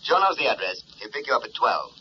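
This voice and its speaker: An actor playing a brusque, bossy city editor in a vintage radio drama. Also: male voice, medium pitch, sing-song, fast, close to an everyday voice. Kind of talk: 1940s radio drama acting. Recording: muffled, lightly noisy old radio recording.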